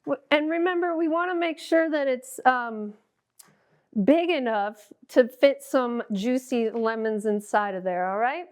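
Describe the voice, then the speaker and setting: A woman's voice: high voice, unhurried, darkish tone, clear, resonant tone. A middle-aged woman hosting and teaching a step-by-step drawing lesson, patient and encouraging. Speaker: art teacher and show host.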